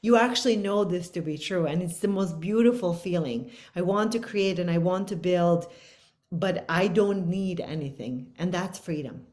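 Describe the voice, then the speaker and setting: A woman with a Bosnian accent talks in an earnest, expansive and spiritual way, reaching for big ideas. Her voice sits somewhat low. She speaks as a guest in a casual podcast conversation. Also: moderate pace, darkish tone. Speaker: guest author.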